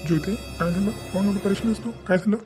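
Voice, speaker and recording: A man's voice: sits high, fairly even intonation, a little fast, over music, natural voice. A man playing a concerned, blustery village shopkeeper in a cartoon family drama, repeating himself. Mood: alarmed.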